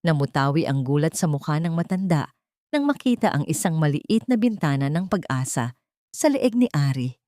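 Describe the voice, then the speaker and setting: A woman's voice: low voice, very animated, moderate pace, warm tone, no echo, studio quality. A woman narrator reading a scripted audio drama in a dramatic storytelling style.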